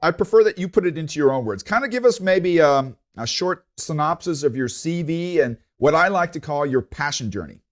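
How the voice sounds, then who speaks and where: A man's voice: on the high side, expressive, fairly quick, hardly any pauses. A man hosting his own podcast, rambling and hesitant, with many fillers and restarts. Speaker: podcast host. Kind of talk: casual interview hosting.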